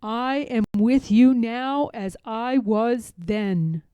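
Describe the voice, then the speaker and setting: A woman reading a storybook, voicing God as a calm, tender, commanding fatherly presence who speaks slowly and reassuringly. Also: fairly high pitch, clear, resonant tone, dry recording. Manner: slow, solemn, reassuring. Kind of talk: storybook read-aloud.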